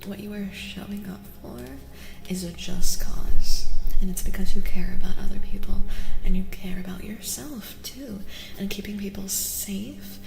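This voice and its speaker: A woman doing a soft-spoken ASMR tarot reading as a streamer, breathy and often near a whisper, soothing and affirming, gently repeating her phrases. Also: mid-range voice, steady tone, measured pace. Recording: echoey reverb with music behind. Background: music.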